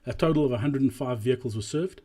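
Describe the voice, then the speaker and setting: A man giving an explanatory business presentation as a voice-over, speaking deliberately and emphatically and repeating key phrases, with a slightly husky voice. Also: mid-range voice, warm tone. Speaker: presenter analysing drive-through performance.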